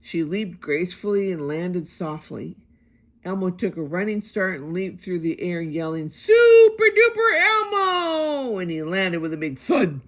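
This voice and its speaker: A woman's voice: lowish voice, normal speed, darkish tone. A woman narrating a children's picture book aloud as a storybook reader.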